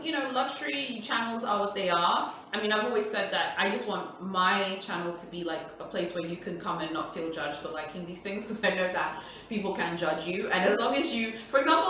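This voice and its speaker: A woman's voice: mid-range voice, moderate pace, bright, forceful tone, a little hiss or hum. A woman whose first language is Swahili, hosting a casual Q&A for her viewers in a chatty, emphatic way and repeating her points. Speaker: luxury YouTuber host. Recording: echoey room, voice far from mic.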